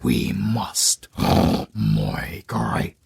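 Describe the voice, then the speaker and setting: A British man voicing a grave green-skinned clan leader, speaking in solemn, raspy half-whispers. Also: on the low side, sing-song, very slow, no room sound, full character voice. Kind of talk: fantasy audio drama.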